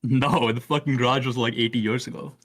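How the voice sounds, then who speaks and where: A man in his late twenties with an Indian accent, sitting at an ordinary middle pitch. He debates heatedly in a casual, filler-heavy way, swearing and cutting in on the other speaker. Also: fairly even intonation, fairly bright tone. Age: late 20s. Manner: fast filler-heavy talk, many "like"s, swears, interrupts. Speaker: caller debating the host.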